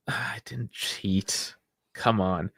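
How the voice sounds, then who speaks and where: A man's voice: on the low side, fairly even intonation, extremely slow, vocal fry, a bit bright, breathy edge, dry recording. Chatty man on a dry mic. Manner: chatty, rambling, laughs often. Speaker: podcast co-host. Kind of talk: casual sports chat.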